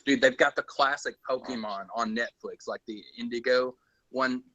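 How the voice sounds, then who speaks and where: A man chatting as a guest on a stream, excitable, stumbling over fillers and laughing. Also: mid-range voice, normal speed, poor audio. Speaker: guest, video narrator. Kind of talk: casual group chat on a stream.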